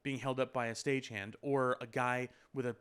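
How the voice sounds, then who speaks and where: Man, casual podcast co-host, mid-pitch, loose rambling delivery with restarts. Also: flat delivery, a little fast, fairly bright tone, clean tone. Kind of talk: casual chat.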